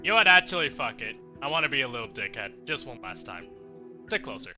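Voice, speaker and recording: A man doing casual, playful let's play commentary, irreverent and quick to swear. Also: medium pitch, very animated, lo-fi sound, music in the background. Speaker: game streamer.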